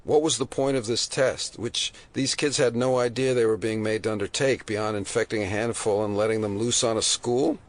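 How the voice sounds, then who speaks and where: A man's voice: lowish voice, steady tone, normal speed, hardly any pauses, natural voice. A man playing a skeptical, questioning investigator in an audio drama. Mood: skeptical, probing. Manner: questioning, incredulous. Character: probing, skeptical male investigator.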